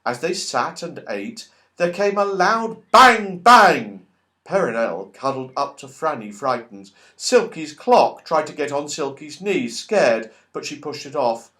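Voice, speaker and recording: Animated, unhurried male children's storyteller. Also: on the high side. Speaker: audiobook reader. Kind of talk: expressive children's story narration.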